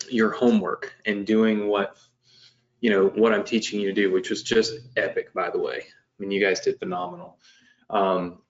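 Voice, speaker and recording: A low-voiced man in his late thirties hosts a live online business show, chatting loosely and circling back with lots of fillers and restarts. The stream audio is dull and lo-fi, and he sounds like he's at a home webcam setup. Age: 30s. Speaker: host of a live business webinar. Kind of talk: casual live-stream talk. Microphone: webcam setup at home desk.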